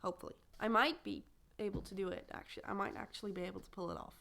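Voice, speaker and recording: A young woman chatting casually about her reading plans, her voice a little croaky from a recent illness, rambling and correcting herself as she goes. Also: medium pitch, fairly even intonation, very fast, bright, forceful tone. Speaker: booktuber. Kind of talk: casual chat to camera.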